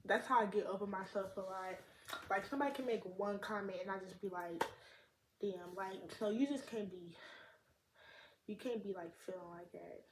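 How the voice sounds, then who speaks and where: A woman's voice: mid-range voice, normal speed. A teenage girl with a slight lisp, chatting casually and rambling in slang as a vlogger, recorded on a tablet. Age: teens. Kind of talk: casual chat to camera. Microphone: tablet camera mic. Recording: echoey room, faint noise.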